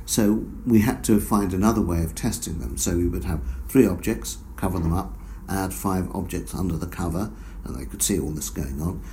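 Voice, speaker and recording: Researcher explaining findings, deep and mellow, faint hiss behind. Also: male voice. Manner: measured, explanatory, many fillers. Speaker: researcher guest. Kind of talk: academic interview answers.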